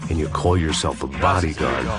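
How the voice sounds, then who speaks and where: Jaded American ex-cop, extremely low voice, deadpan, bitter, self-loathing, video game noir, loud music underneath. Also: male voice, brisk. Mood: self-loathing, sardonic. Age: middle-aged. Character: world-weary, self-loathing American ex-cop turned bodyguard. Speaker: narrator and protagonist. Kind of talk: hard-boiled noir game narration.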